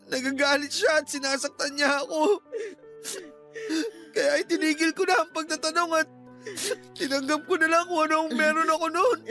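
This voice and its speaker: A man's voice: extremely high pitch, bright, forceful tone, heavily acted. A man playing a polite, bewildered long-lost son in a Filipino radio drama, with a Filipino accent. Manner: earnest, respectful. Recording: music under the voice.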